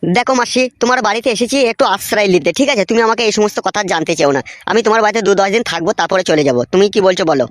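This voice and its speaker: A man voicing a brash, sweet-talking village philanderer in a cartoon, with a pitched-up voice. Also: very fast, dry recording, studio quality. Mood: brash, casual.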